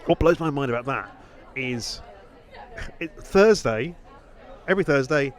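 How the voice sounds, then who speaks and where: A man's voice: medium pitch, lively intonation, a little slow, a faint crowd. A British man chatting casually as a podcast host, in a rambling, stop-start way. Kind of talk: casual chat.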